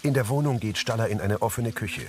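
A man's voice: fairly low pitch, a little fast, background noise. A man reading scripted audio description narration for a drama.

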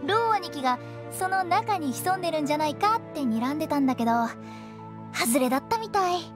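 A woman voicing a brisk, playful, confident young female funeral parlor director in an anime-style game. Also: very high-pitched. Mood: matter-of-fact. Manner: animated, confident, bossy. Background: background music.

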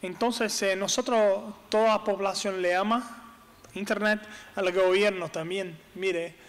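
A man's voice: sits high, steady tone. A man in his thirties from Brazil with a Brazilian Portuguese accent, giving a conference talk from a table in a brick-walled hall, hesitating often and searching for words. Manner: halting, searches for words with many fillers. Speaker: conference speaker. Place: large hall with brick walls, on stage at a table. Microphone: desk microphone on stand. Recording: long hall reverb.

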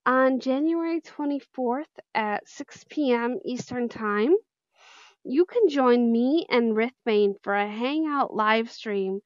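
A woman's voice: on the high side, no room sound, muffled recording. A woman chatting casually and in a friendly way to her viewers as a channel host. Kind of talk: casual outro chat to viewers.

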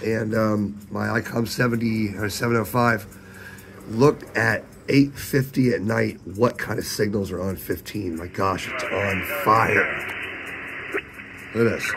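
A man with a hoarse, sick voice, casually chatting about his ham radio setup at night. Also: on the low side, fairly even intonation, unhurried, noisy background. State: hoarse, losing his voice from illness. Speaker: ham radio video host. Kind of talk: casual vlog narration with radio contacts. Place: home radio shack at night.

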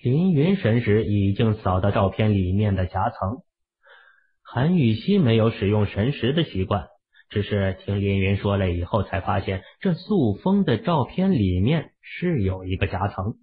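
A man's voice: fairly low pitch, very animated, normal speed, slightly rough. A man narrating scripted fiction as an audiobook reader. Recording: very narrow, phone-like sound.

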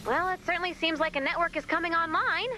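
An actress playing a brisk, wry, easily exasperated woman in command of a base in an animated video game, with dry sardonic delivery. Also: extremely high pitch, slight background noise. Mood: businesslike. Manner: dry, sardonic, hesitant when flustered.

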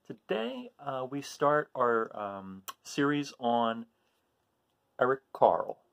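A middle-aged man reading a children's storybook aloud as host, in short deliberate phrases with expressive emphasis on key words. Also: mid-range voice, creaky. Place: small room at home, indoors.

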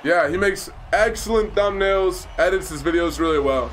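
A man's voice: sits high, moderate pace, a bit bright, a little hiss or hum, rough recording. Chatty young man streaming a racing game. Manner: chatty, reads and answers chat, laughs often. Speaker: livestream host. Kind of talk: casual gaming livestream chat. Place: small room indoors. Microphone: headset mic.

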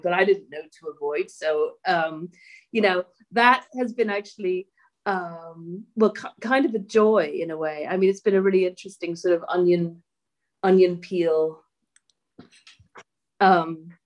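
A woman's voice: medium pitch, low-quality recording. Rambling woman on a video call. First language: English. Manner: rambling, hesitant. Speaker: writer on a panel. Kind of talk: casual panel talk.

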